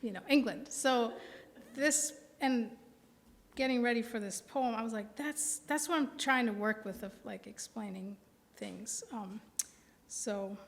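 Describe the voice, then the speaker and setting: American woman in her 40s at a poetry reading, really slow, big echoey hall. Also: on the high side. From Milwaukee, American Midwest. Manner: slow, deliberate phrasing with pauses. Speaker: poet. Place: indoor reading before a live audience. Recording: reverberant hall sound.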